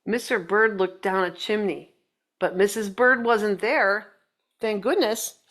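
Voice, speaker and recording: A woman's voice: medium pitch, slow pace, fairly bright tone. A woman reading a children's picture book aloud, playfully chatting to young listeners in little asides. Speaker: storybook reader.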